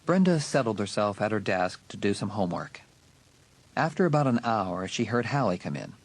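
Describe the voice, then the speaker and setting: A man narrating a horror audiobook in a dramatic, suspenseful way with deliberate emphasis. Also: fairly low pitch, sing-song.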